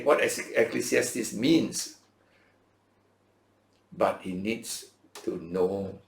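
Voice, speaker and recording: Animated male Bible teacher, roomy sound. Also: mid-range voice, vocal fry. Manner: emphatic, repeats phrases, frequent check-in tags like "okay" and "all right"; poses rhetorical questions and answers them. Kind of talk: Bible school lesson, preaching. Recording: roomy, echoing space.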